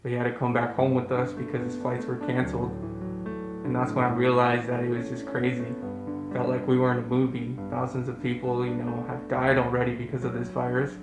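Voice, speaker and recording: A man recounting a personal story in a flat, monotone voice. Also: medium pitch, a little fast, roomy sound, loud music behind the voice, poor audio. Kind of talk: narrated personal account.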